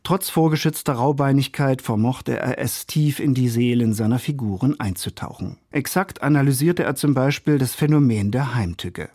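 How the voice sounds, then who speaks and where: A man's voice: mid-range voice, moderate pace, few pauses, warm tone, no room sound, clean studio sound. A male radio culture critic narrating a scripted review in polished, ornate phrasing. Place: studio.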